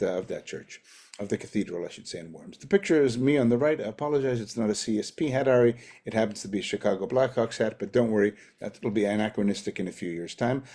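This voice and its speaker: Canadian guy giving a lecture on a video call, chatty, jokes at himself, mid pitch. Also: darkish tone. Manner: chatty, self-deprecating asides. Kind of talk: online lecture.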